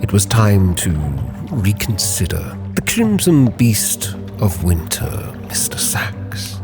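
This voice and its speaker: Deep voiced guy telling a captain's log story, takes his time, solemn and hushed at the ends, music behind. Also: creaky. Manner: measured, solemn storytelling with hushed phrase endings. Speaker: narrator and roleplayer. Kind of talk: dramatic in-character story narration. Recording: music under the voice.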